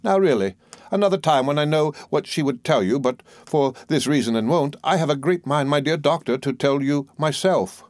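A man doing a fussy, garrulous older mother, pitched well above his own voice, gushing and self-important as she presses her point. The recording is dry and close. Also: animated, moderate pace, a little mellow, no room sound, natural voice. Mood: coaxing.

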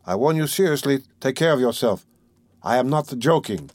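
A man's voice: medium pitch, big pitch swings, a little fast, dry recording, pitched above the performer's own voice. A man voicing a sly, falsely jovial middle-aged examining lawyer in an audiobook, teasing and ironical. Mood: stern warning. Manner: teasing, chuckling, wheedling, ironical. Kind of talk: dramatic audiobook character reading.

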